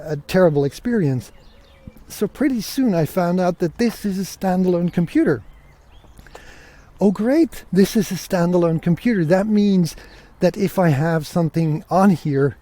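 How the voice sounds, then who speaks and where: A man hosts a podcast in a casual, reflective way. His voice sits a little high for a man, with a very mellow, dark tone. He talks informally, as if thinking aloud. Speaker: podcast host.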